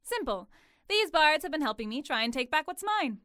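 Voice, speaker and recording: A woman playing an entitled, dramatic young schemer in an audio drama, speaking in a deliberate, theatrical way. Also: high-pitched, very animated, pushed and bright, no room sound, clean studio sound, heavily acted. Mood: haughty.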